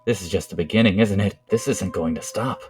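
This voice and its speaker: A man's voice: lowish voice, brisk, a little mellow, faint music behind, lightly acted. A man voicing a young skeleton brother in an emotional comic dub, bitter, fiercely protective and urgent. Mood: bitter, panicked. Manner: agitated, emphatic, urgent.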